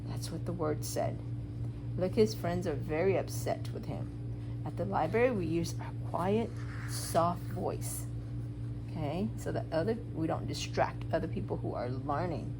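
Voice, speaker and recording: A preschool teacher, a woman, reads a picture book to young children in an animated, instructive way, asking questions and explaining rules simply. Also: lowish voice, a little slow. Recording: clearly noisy room recording.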